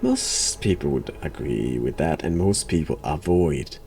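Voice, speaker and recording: A man streaming a horror game, giving casual, dry, sardonic replies in a deadpan way. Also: very deep voice, measured pace, creaky, slight background noise. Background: music.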